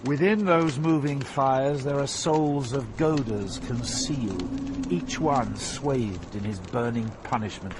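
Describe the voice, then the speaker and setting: A man's voice: medium pitch, takes it slowly, background noise, close to an everyday voice. A man as a grave guide through Hell. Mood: grave, calm. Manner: grave, explanatory. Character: solemn male poet guide through Hell. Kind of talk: dramatized audio performance of epic poem.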